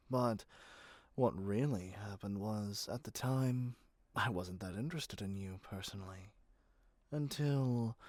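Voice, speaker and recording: A man's voice: fairly low pitch, darkish tone, no room sound. A man playing a doting yet controlling yandere kidnapper in an ASMR roleplay, speaking intimately to the listener with sweet pet names.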